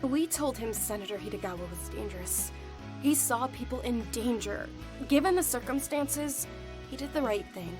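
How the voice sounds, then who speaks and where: An actress voicing a firm, serious captain of an investigation agency in a visual novel, with an assertive manner. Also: female voice, on the high side, lively intonation, moderate pace, natural voice. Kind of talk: English visual novel voice acting. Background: music.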